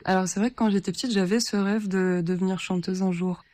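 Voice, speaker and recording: A shy woman from Lithuania answers questions softly and hesitantly, filling pauses as she searches for words. Her voice is mellow and dark rather than bright, sitting at an ordinary pitch for a woman. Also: fairly even intonation, dry recording. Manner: shy, soft-spoken, hesitant with many fillers. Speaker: interviewed singer-songwriter. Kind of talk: radio interview answers.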